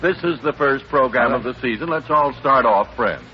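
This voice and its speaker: A man's voice: medium pitch, moderate pace, natural voice. Medium male voice of an actor, conciliatory straight man, radio comedy, muffled vintage broadcast. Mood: placating. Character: placating, level-headed show announcer. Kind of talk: 1930s radio comedy acting. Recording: muffled 1930s radio broadcast, faint noise.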